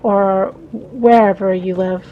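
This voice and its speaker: A woman's voice: mid-range voice, steady tone, slow, phone-quality sound, slight background noise, lightly acted. A woman voicing a lonely, wistful female passenger in a visual novel, musing and hesitant. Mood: pensive. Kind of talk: visual novel read-aloud.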